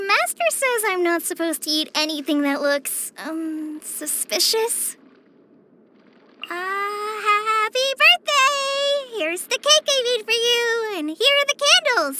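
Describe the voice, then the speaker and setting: A woman's voice: extremely high pitch, bright, forceful tone, heavily acted. A woman voicing a shy, apologetic, earnest teenage girl in a video game, hesitant and stammering as she speaks. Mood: friendly, awkward. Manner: hesitant, stammering, self-conscious. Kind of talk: video game character voice-over.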